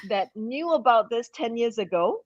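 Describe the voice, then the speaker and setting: A woman's voice: a little high, expressive, moderate pace, no room sound. Woman from singapore, upbeat persuasive chat, muffled lo-fi sound, dry no room. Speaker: business promoter. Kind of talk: live online interview chat. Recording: dull, dry lo-fi audio.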